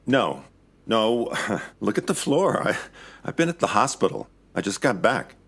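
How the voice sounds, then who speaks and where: A man's voice: lowish voice, sing-song, unhurried, fairly bright tone, dry recording, full character voice. An actor playing a weary, wry investigator who narrates his own case in an audio drama. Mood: subdued. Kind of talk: first-person noir-style audio drama narration.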